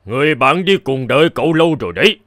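An actor playing a solemn, commanding elder in an animated fairy tale, speaking in a measured, authoritative way. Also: male voice, fairly high pitch, no room sound, studio quality. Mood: calm. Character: solemn male envoy and hermit, commanding elder.

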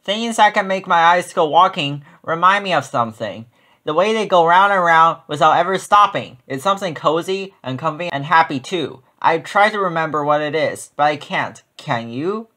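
Young storybook gosling, read by a man: curious, earnest, with bouncy, lively intonation. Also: a little high, normal speed.

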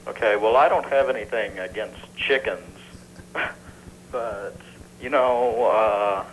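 A man calling a show by telephone, talking casually and haltingly. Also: lowish voice. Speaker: caller. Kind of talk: casual halting phone chat. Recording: faintly noisy phone-in tape.

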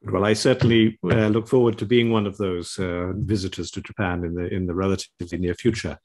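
A British man with a low voice interviews a guest from his home study. He talks in a measured, polite way and frames long questions, pausing with "um". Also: steady tone, darkish tone. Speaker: interviewer, society chair. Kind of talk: formal video-call interview. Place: home study with bookshelves, indoors. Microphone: video call.

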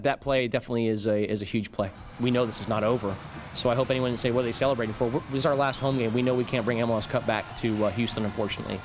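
A middle-aged man, a football head coach, speaking in a measured, reflective way at a postgame press conference podium. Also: medium pitch, background noise. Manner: measured, reflective, frequent fillers. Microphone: podium microphone.

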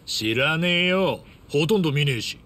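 A man's voice: fairly high pitch, animated, normal speed, pushed and bright. An actor playing a blunt, hot-headed but honest middle-aged ex-yakuza man in a video game drama. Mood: puzzled. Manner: blunt, rough street speech.

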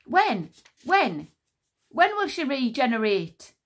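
A woman voicing a furious, imperious queen who shouts in a high, sharp voice in a storybook reading. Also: sing-song, slow, heavily acted. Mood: frantic. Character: furious imperious queen, grief-struck mother. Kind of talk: storybook character voice.

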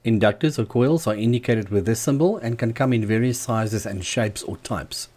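A man narrating a scripted how-to tutorial as the channel's host, in a measured, explanatory manner. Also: medium pitch, darkish tone. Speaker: host of a DIY loudspeaker channel.

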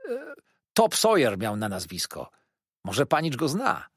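Storybook role: sly jovial servant, acted by a man, talkative and dramatic, very animated delivery with a rough edge. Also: mid-range voice, unhurried, no room sound, clean studio sound, pitched above the performer's own voice, full character voice. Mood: ominous storytelling. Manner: chatty, exclaiming, theatrically dramatic. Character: sly, jovial manservant with a hearty appetite. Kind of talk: dramatised audiobook reading.

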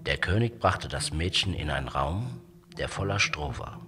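A man narrating a scripted fairy tale for children as an expressive, dramatic storyteller. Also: low-pitched, normal speed.

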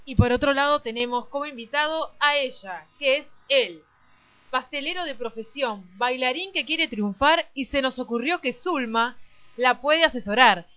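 A woman voicing a brisk, nosy cable talk show hostess in a parody radio play. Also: high-pitched, natural voice. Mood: welcoming. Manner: presenterly, prying. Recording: dull lo-fi audio.

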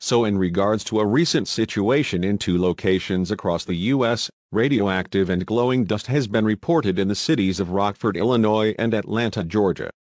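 A man's voice: fairly low pitch, moderate pace, few pauses, a little mellow, dry recording, close to an everyday voice. A man playing a radio news host with a formal on-air manner in an amateur drama. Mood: formal, steady. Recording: very noisy simulated radio broadcast.

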